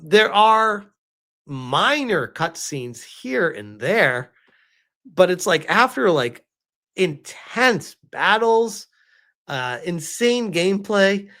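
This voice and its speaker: A man giving casual livestream commentary on gaming news as a streamer, informal and incredulous, with halting, emphatic phrasing and rhetorical questions. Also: sits high, sing-song, a bit bright.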